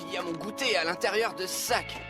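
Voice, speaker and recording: A man plays a clumsy, cheerful young fireman in a French-dubbed cartoon. His voice sits high, with exclamations and sheepish self-mockery. Music plays underneath. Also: fast talker, lightly acted. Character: clumsy, hungry, cheerful young male firefighter. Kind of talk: French animated series dubbing.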